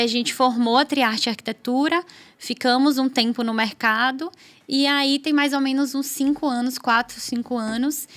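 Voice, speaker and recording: A woman's voice: sits high, fairly bright tone. A woman in her thirties with a Northeastern Brazilian accent, chatting casually as a podcast guest and telling stories from her career. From Aracaju, Sergipe, Brazil. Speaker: podcast guest, architect and production course teacher. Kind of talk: casual podcast conversation, personal storytelling.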